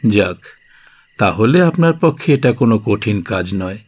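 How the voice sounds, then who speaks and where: An actor playing a concerned Buddhist monk in an audio drama, putting formal, inquisitive lines to a fellow monk. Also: male voice, lowish voice, lively intonation, unhurried. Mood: inquiring. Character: concerned Buddhist monk questioning a revered healer. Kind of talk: dramatic radio play dialogue in period setting.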